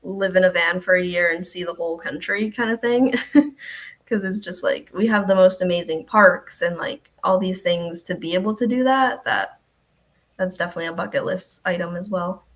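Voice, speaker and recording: A woman's voice: lowish voice, normal speed. A young woman from Ecuador, a health department coordinator, chatting casually as a guest on a live stream with many ums.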